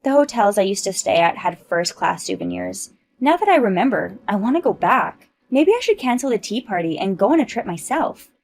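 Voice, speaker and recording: A woman's voice: mid-range voice, very animated, brisk, darkish tone. A woman voicing a snobbish, boastful rich mom who talks down to others. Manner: haughty, condescending.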